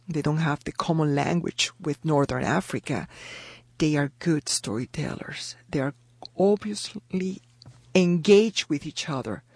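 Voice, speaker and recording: A woman's voice: sits low, darkish tone, slightly rough, dry recording. Middle-aged woman. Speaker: radio guest. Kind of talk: interview storytelling.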